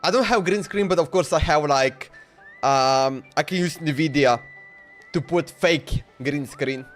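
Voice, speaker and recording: A man's voice: on the high side, unhurried, faint music behind. A man live-streaming a video game, reacting casually and chatting, often repeating himself. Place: indoors at a streaming setup.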